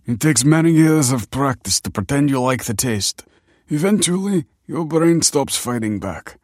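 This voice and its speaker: A man voicing a wry, kindly old grandfather in an audiobook, with a husky voice and a reassuring manner. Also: mid-range voice, expressive, moderate pace, darkish tone, no room sound, clean studio sound, pitched above the performer's own voice, close to an everyday voice. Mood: wry, amused. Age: older. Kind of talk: audiobook character dialogue.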